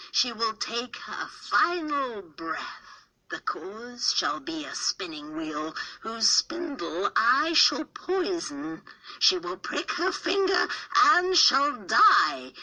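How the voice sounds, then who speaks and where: A woman voicing a spiteful old fairy who curses a baby, with a menacing, drawn-out gloating delivery. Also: medium pitch, sharp tone, exaggerated voice, poor audio. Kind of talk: storybook character reading.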